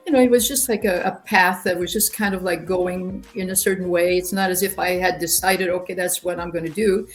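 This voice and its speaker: A woman's voice: on the low side, steady tone, fairly quick, few pauses, faint music behind. A woman from rural Ontario near Quebec, a native French speaker, speaking English as an interview guest and explaining things conversationally, often repeating words. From rural Ontario near Quebec, Canada. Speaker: interview guest, human rights youth organisation director. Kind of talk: conversational personal storytelling in an interview.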